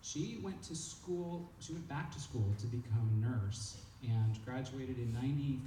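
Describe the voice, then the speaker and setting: A man's voice: mid-range voice, a little slow, warm tone. A man from California, reminiscing informally and hesitantly, searching for his words. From California, United States. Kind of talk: informal reminiscing, answering questions. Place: echoey meeting room. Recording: echoey, far mic, noisy room.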